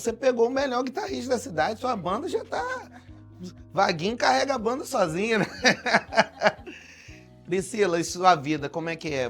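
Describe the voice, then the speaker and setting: A man's voice: high voice, fairly bright tone. A man with a Brazilian Portuguese accent hosting a faith interview show, upbeat and teasing in a casual chat. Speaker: talk show host.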